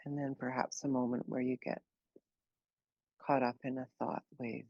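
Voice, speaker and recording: A woman leading a guided meditation, speaking slowly and gently in an unhurried way with long pauses. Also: a bass voice, flat delivery, no room sound, highs cut off. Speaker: meditation teacher.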